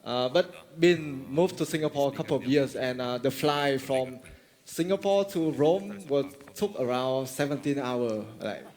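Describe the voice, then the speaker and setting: A man from Vietnam, giving a friendly technical conference talk, halting and full of fillers and restarts. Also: medium pitch, animated, darkish tone. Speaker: conference speaker. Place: large conference hall. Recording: long reverb of a large hall.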